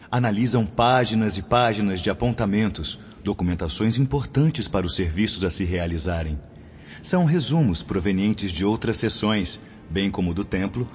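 Male actor as welcoming young explainer, formal, lowish voice, dull audio with faint music. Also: darkish tone, lightly acted. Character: affable young male spirit host, helpful explainer. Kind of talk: radio drama acting.

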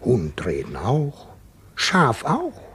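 An actor in a German radio play voicing a simple, good-hearted jailer, coaxing and fussy, with colloquial talk that moves between hushed murmurs and exclamations. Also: male voice, medium pitch, wildly swooping pitch, very slow, exaggerated voice. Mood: soothing, chatty.

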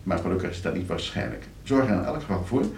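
A man's voice: deep voice, animated, quick pace, gravelly, darkish tone, slight background noise. An actor playing a polite, scheming professor in a radio play, precise in his speech but prone to fluster. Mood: coolly instructing. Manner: polite, precise when instructing, stammering when questioned. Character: scheming, flustered professor plotting against his uncle for inheritance.

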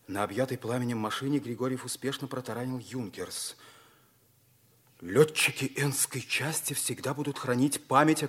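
An actor playing a proud, stern wounded Soviet pilot captain in a theatrical 1950s radio drama, with a husky voice. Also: male voice, mid-range voice, close to an everyday voice. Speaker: actor narrating in first person and playing the lead. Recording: old radio play recording.

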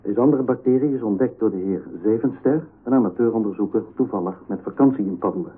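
Pompous TV presenter, narrow-band. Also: male voice, fairly low pitch, fast talker, slightly rough. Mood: pompous, formal. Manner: pompous, formal. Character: formal, condescending male television presenter of current affairs. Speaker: TV programme presenter. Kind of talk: scripted radio comedy acting. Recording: narrow-band old radio recording.

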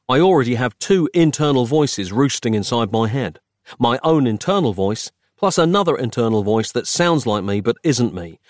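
A man's voice: mid-range voice, dry recording, clean studio sound, natural voice. A man playing an exasperated, argumentative comic hero in an audiobook, emphatic and querulous.

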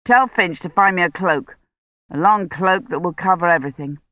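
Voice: medium pitch, normal speed, no echo, dull audio, lightly acted. A narrator voicing a weary but firm and protective older academic man. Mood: brisk.

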